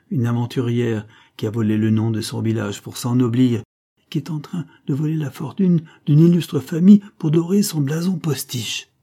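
A man's voice: medium pitch, breathy edge, voice pushed up. A man voicing a stern older aristocrat who scornfully accuses his nephew. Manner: forceful, indignant reproach.

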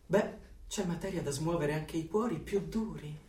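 A man's voice: a little high, moderate pace, dark tone, close to an everyday voice. An actor playing a pompous, pushy male party colleague in a radio play, with an effusive, emphatic and insistent delivery. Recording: echoey room, distant mic, faint noise.

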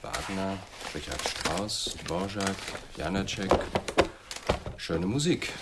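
A man's voice: low voice, takes it slowly, very noisy. A man acting in a radio drama as a dry, wry, inquisitive police detective. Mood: wry, curious.

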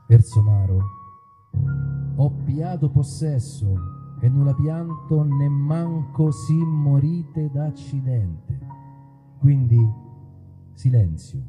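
A man's voice: medium pitch, expressive, takes it slowly, long pauses, warm tone. A man talking to his audience in a colloquial, theatrical way during a live outdoor stage show. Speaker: musician, singer performing a show. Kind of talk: live stage banter between songs. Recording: live stage performance with music.

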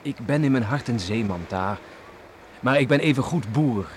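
An actor playing a loyal, steady young seafarer and farmer son in a Dutch radio drama, earnest and respectful. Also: male voice, mid-range voice, normal speed, lightly acted. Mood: earnest, resolute. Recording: slightly noisy old radio play recording.

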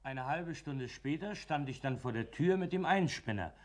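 A man's voice: a little high, expressive, normal speed, a little hiss or hum, natural voice. An actor playing a simple farmhand and coachman, speaking deferentially and colloquially in a German radio play. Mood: plain, matter-of-fact. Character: plain, obedient farmhand and coachman telling his account.

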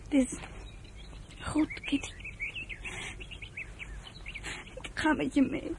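A sensitive, loyal young girl in a radio play. Her voice is fairly high and halting, on an old recording with faint noise. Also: level tone, vocal fry. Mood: sad, resigned. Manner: hesitant, soft-hearted, halting. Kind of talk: radio drama acting.

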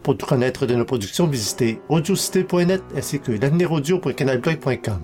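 A man gives a scripted podcast sign-off as presenter. His voice sits at a middle pitch, with clearly audible music playing behind it. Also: expressive, brisk, darkish tone. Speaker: podcast presenter reading credits. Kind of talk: scripted announcement, credits outro.

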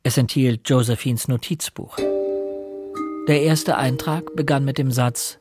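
A reflective young man played by an actor narrates a radio play with measured earnestness. His medium-pitched voice sits over clearly audible music. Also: steady tone, lightly acted. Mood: sombre. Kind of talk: scripted first-person radio drama narration.

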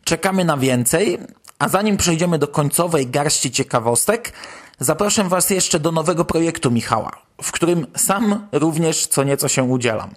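Higher-pitched male podcast host. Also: animated, normal speed. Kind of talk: podcast news commentary, semi-scripted.

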